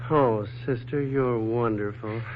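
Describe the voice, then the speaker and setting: An actor playing a groggy, hungover, flirtatious wisecracker with a hesitant, pleading manner in 1940s radio style. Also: male voice, mid-range voice, slow pace, close to an everyday voice. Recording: tinny, noisy 1940s radio recording.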